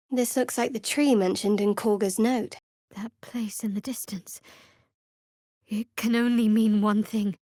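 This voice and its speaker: An actress playing a guarded, wry young cleric of darkness in a video game, speaking in a measured way. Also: female voice, mid-range voice, dry recording, clean studio sound, close to an everyday voice. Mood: cool, suspicious.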